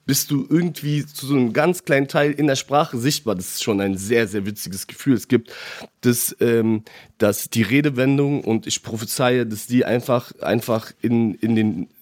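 Young guy chatting casually, animated, lots of restarts and fillers, dry close sound. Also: mid-range voice, normal speed, dry recording. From abroad, came to Germany as a child. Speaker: guest. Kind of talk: casual podcast chat.

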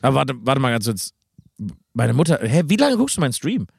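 A man's voice: medium pitch, very animated, fairly quick, creaky, husky, no echo, studio quality. A man in his late twenties in casual chat, blunt and teasing, with lots of swearing and youth slang. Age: late 20s. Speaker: streamer, podcast guest. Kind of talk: casual chat between friends.

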